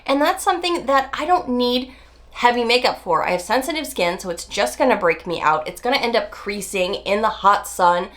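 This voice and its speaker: Beauty vlogger, woman in her 40s, a bit nasal from a cold, chatty and emphatic, highish voice. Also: lively intonation, a bit bright. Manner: chatty, emphatic, drawn-out stresses. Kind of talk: casual talk to camera.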